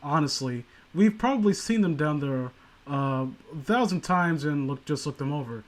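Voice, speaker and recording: A man with a voice pitched a little high, chatting casually as a comedic co-host. He rambles sardonically and swears freely. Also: animated, normal speed. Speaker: podcast co-host reading and mocking amateur horror stories.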